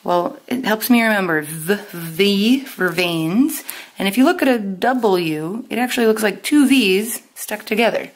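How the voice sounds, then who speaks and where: A woman teaching a step-by-step lesson in a deliberate, instructional way, pausing and stressing key terms. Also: medium pitch. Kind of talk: step-by-step lesson with pauses for note-taking.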